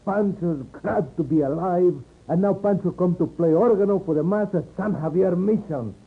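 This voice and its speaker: A man's voice: high voice, normal speed, a little hiss or hum. A man playing an exuberant, scheming Mexican man who speaks broken English in a 1950s radio western. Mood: joyful. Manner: excitable broken English, speaks of himself by name. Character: exuberant, scheming Mexican man, happy-go-lucky organ player. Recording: tinny old radio recording.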